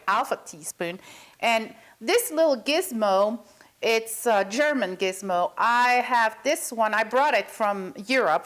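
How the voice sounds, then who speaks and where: A woman from Belgium, chatting through a recipe as a cooking show host. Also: a little high. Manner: chatty, talks viewers through each step. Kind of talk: cooking demonstration.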